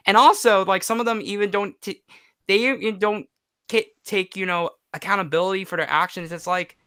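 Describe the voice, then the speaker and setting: A man in his twenties with a smooth, forceful voice, recorded close and dry. He speaks as a guest in a candid chat, emotional and emphatic, often repeating a phrase to drive it home. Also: sits high, brisk, no room sound. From United States. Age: 20s. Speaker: podcast guest, YouTuber and voice actor. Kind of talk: candid personal interview chat.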